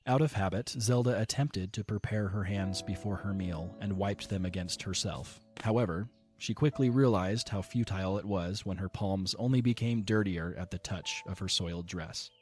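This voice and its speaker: A man narrating an audio drama in a steady, measured reading style. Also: low voice, soft background music.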